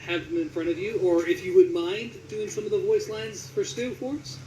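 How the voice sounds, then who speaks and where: A man's voice: high voice, normal speed, dark tone, far from the mic, slight background noise, low-quality recording. A man hosting a casual interview, with a hesitant, stumbling way of phrasing questions. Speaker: interviewer, host.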